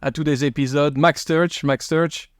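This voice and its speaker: Man, podcast host, somewhat high pitch, halting delivery, dry close sound. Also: fairly even intonation, no room sound. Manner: hesitant, many fillers and restarts. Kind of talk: casual interview.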